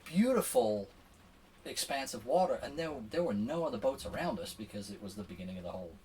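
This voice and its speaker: A man's voice: medium pitch, lively intonation, brisk, a little mellow. Man telling a story, slightly distant mic. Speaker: podcast guest, sailor. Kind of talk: casual conversational storytelling. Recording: distant mic with faint background noise.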